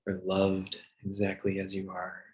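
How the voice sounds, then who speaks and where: A man leading a guided meditation in a soothing, gentle manner with long pauses. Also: low-pitched, completely flat delivery, dull audio. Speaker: meditation teacher.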